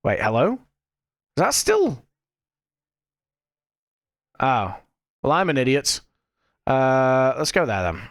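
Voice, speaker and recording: A man's voice: medium pitch, wildly swooping pitch, slow pace, pauses often, no room sound, studio quality. A British man streaming a game, chatting casually and self-deprecatingly with his viewers while fighting off a cough. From United Kingdom. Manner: rambling, self-deprecating, talks to chat. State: lingering cough. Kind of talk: casual gameplay commentary.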